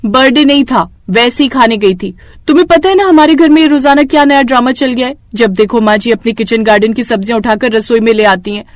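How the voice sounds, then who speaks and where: A woman's voice: a little high, fairly quick, no room sound, studio quality, close to an everyday voice. A woman voicing a scheming young daughter-in-law in a moral story, with a dramatic, complaining manner. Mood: irritated. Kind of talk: Hindi moral story voice acting.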